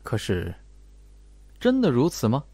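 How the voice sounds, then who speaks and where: Animated male audiobook narrator. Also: medium pitch, unhurried, breathy edge, dry recording. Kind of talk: dramatic scripted mystery narration.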